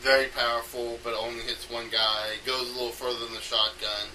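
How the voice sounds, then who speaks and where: A man giving an unscripted, rambling explanation as an amateur game designer, halting often as he thinks aloud. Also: mid-range voice, flat delivery. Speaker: amateur game designer recording an audio log. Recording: noisy home recording, distant from mic.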